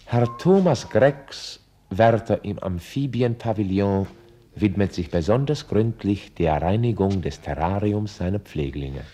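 An actor playing a dry, ironic narrator, reading scripted radio play narration like a documentary report. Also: male voice, fairly low pitch, lively intonation, unhurried, warm tone, a little hiss or hum. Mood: dry, reportorial.

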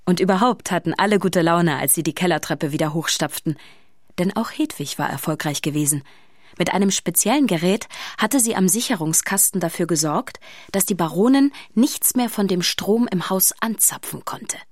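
A woman's voice: on the low side, expressive, normal speed, dry recording, studio quality. A woman narrator telling a scripted story in a children's radio play. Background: music.

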